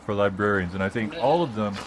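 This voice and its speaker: A man playing a pedantic, argumentative debater in an improvised audio comedy, restarting his points haltingly and insistently. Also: lowish voice, a little hiss or hum, natural voice, low-quality recording. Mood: defensive. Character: indignant, pedantic male debater with muddled logic.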